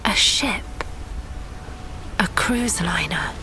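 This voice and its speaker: A woman acting as a desperate sailor and parent, narrating a storm at sea in intense, halting fragments for an audio drama. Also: on the low side, background noise. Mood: dazed, hushed. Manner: intense, breathy, halting delivery in short fragments. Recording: dramatic music under the voice.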